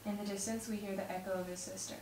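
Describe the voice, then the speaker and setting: A woman's voice: medium pitch, level tone, quick pace. A young woman reading a script aloud and chatting casually at a table read. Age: young adult. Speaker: cast member reading stage directions at a table read. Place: home dining room. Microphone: far camera. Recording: distant mic, echoey room, loud noise.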